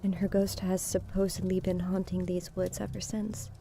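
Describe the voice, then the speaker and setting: An actress in an audio drama playing a wary, inquisitive grieving daughter who speaks plainly and hesitantly. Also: female voice, lowish voice, level tone, moderate pace, creaky, dark tone, faint music behind. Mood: uneasy. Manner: hesitant, plain-spoken, questioning.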